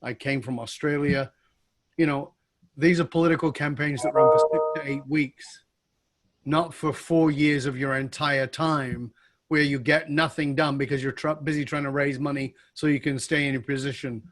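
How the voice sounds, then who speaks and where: A man's voice: a little high, fairly even intonation, moderate pace, fairly bright tone, a little hiss or hum. A man from the UK hosting an interview podcast, talking with enthusiasm as he wraps up with his guest. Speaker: podcast host.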